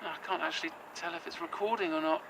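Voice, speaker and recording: A man's voice: on the high side, steady tone, quick pace, creaky, noisy background. An actor playing a nervous, earnest young man who stumbles over his words. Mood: worried. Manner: nervous, earnest, hesitant with fillers. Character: anxious, hopeful young man, possessed. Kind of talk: audio drama acting.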